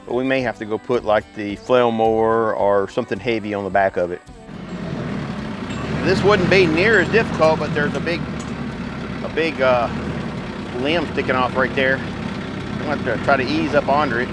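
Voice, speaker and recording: A man's voice: mid-range voice, normal speed, pauses often, loud music behind the voice. A middle-aged man vlogging casually while working a sawmill outdoors, rambling and self-deprecating with frequent restarts. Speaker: homestead vlogger.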